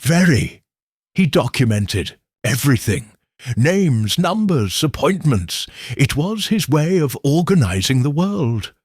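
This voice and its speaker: A man's voice: fairly high pitch, big pitch swings, takes it slowly, slightly rough, no echo, studio quality, pitched above the performer's own voice, heavily acted. A man voicing a nervous, guarded young woman client, explaining herself hesitantly and earnestly in an audiobook mystery.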